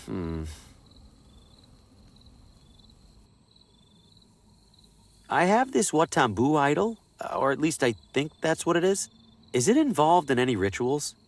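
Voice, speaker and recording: A man's voice: fairly high pitch, very animated, moderate pace, no echo. An actor playing a wary, awkward everyman salesman in a video game, hesitant and wryly skeptical. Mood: curious, dry. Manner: hesitant, wry.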